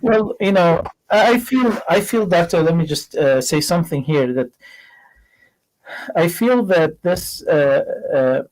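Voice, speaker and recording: A male host speaks in an earnest, searching way, stopping to restart his thoughts as he builds toward a point. His voice sits somewhat high for a man. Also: lively intonation, a little slow, a little mellow, rough recording. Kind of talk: interview questions and commentary.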